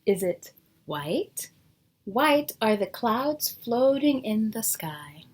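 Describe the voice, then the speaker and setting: A woman's voice: fairly high pitch, big pitch swings, drawn-out words, darkish tone, husky. A woman teacher reading a picture book aloud to young children, explaining things simply and asking them questions.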